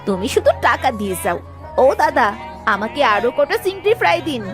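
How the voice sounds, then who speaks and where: A woman's voice: high-pitched, very animated, moderate pace, music in the background. A woman voicing a demanding, greedy young village wife in a cartoon, whiny and insistent. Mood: bossy, greedy. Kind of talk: cartoon story voice acting.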